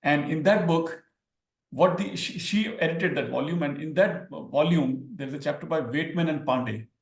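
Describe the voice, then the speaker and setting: A man's voice: a little high, moderate pace, roomy sound. A man from India with an Indian accent, a native Hindi speaker, explaining ideas as a scholar guest in an academic discussion and repeating words for emphasis.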